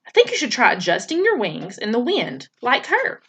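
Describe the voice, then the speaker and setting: Storybook robot mom, calm, formal and measured, lively pitch, as a woman reads her. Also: slightly rough, heavily acted. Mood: instructive. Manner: plain measured formal speech. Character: patient female robot mother, calm and precise.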